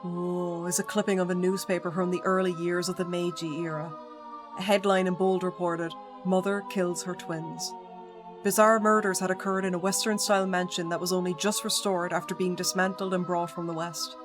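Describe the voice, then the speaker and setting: Low-voiced woman streamer reading a game. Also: little pitch movement, normal speed. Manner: reads game text evenly, breaking off for playful asides. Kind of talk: reading a visual novel aloud and chatting with viewers. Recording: music playing clearly behind the voice.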